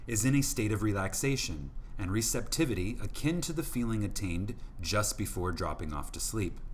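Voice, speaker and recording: A man reading an audiobook from a script in an emphatic, deliberate manner, with dramatic pauses for effect. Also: on the low side, slight background noise. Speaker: audiobook narrator.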